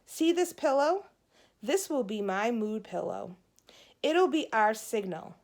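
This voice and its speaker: A woman's voice: fairly high pitch, very animated, slow pace, darkish tone, full character voice. A woman voicing a moody, bossy young big sister in a children's storybook, with an emphatic, snappy delivery. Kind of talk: children's storybook read-aloud.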